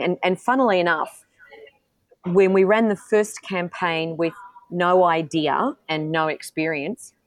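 A self-assured woman in middle age answers interview questions in a candid, forthright way. Her voice sits a little low, and she often restarts her sentences. The recording is close and dry, with no room sound. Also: dry recording. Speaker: politician and guest.

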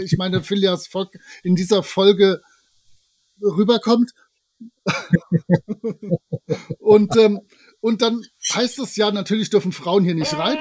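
High-voiced man chatting emphatically. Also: darkish tone. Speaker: podcast co-host. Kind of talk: casual chat about audio dramas.

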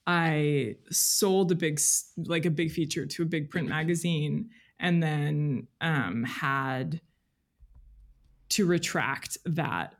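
A woman's voice: deep voice, slow pace. Female podcast guest, informal and reflective, works out thoughts as she speaks. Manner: informal, thinks aloud, hedging with "like" and "you know". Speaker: podcast guest, freelance journalist. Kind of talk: reflective interview conversation.

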